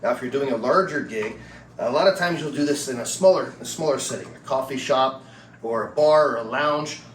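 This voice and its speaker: A man's voice: medium pitch, fairly quick. A man giving a casual product review and demonstration in a plain-spoken, explanatory way. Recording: roomy, distant mic with background noise.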